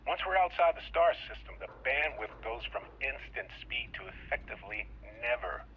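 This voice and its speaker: A man's voice: medium pitch, sing-song, moderate pace, slight background noise. An actor playing a vain, commanding male space explorer in a video game, self-assured and wry, heard through a radio transmission filter. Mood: impatient. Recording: muffled, garbled radio transmission.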